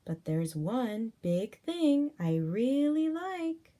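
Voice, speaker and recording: A woman's voice: a little high, very animated, very slow, mellow, voice pushed up, full character voice. A woman voicing a cheerful little bird in a children's storybook, with a light, dainty delivery. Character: little bird who loves little things, cheerful.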